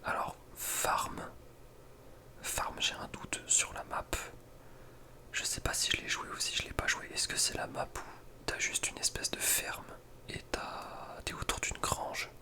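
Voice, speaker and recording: A young man whispering casual ASMR gaming commentary in an informal, slangy, hesitant way. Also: unhurried. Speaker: ASMR video creator.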